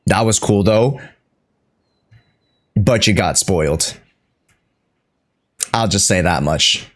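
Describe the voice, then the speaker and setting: A man's voice: deep voice, slow. A man casually chatting as a game streamer over gameplay, slangy and teasing.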